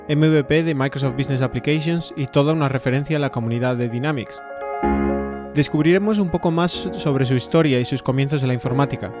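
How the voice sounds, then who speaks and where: A man from Spain co-hosting a podcast, reading a steady introduction and chatting casually. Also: medium pitch. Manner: steady, scripted-sounding intro. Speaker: podcast co-host.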